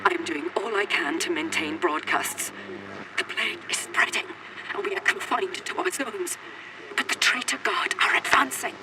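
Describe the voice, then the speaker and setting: Desperate, high-pitched radio distress broadcaster. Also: male voice, animated, moderate pace. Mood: grave, urgent. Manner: urgent, pleading, formal. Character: frightened, desperate male radio broadcaster in hiding during invasion. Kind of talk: dramatic game voice acting as distress transmission. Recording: heavy noise over radio transmission.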